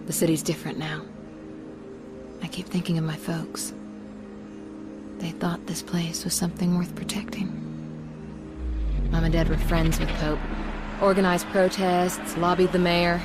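A woman plays a young runner from an animated game, guarded and brooding. Her voice sits fairly low, and she talks in short, reflective phrases. Music plays clearly underneath. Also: unhurried, long pauses, close to an everyday voice. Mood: brooding, subdued. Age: young adult. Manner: subdued, reflective, clipped phrasing. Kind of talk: animated game cutscene acting.

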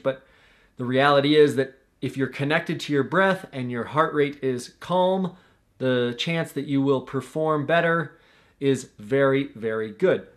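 A young man hosting a tutorial vlog, talking straight to the camera in an earnest, coaching way from a small room at home. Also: medium pitch. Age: young adult. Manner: earnest, coaching, gestures with his hands. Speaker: paragliding instructor and channel host.

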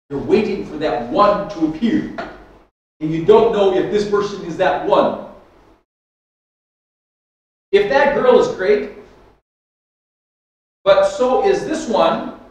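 A man's voice: high-pitched, recorded from a distance, dull audio. Older man preaching in an echoey hall. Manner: storytelling, animated with hand gestures, frequent restarts. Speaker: pastor. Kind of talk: sermon. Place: church hall with stage and keyboard. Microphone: stand mic at lectern, far camera. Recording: echoey hall, speaker off mic.

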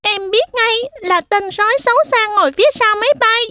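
A woman voicing a small, wary and curious young goat kid in a children's cartoon, squeaky and childlike. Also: a little fast, bright, forceful tone, no echo. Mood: alarmed.

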